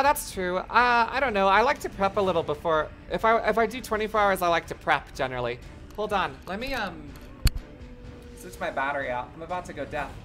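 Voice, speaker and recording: A game streamer with a voice going hoarse, chatting casually, swearing a lot and reacting loudly to the game. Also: medium pitch. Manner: excitable, profane, reacts loudly to gameplay. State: voice getting hoarse. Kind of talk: casual live-stream commentary.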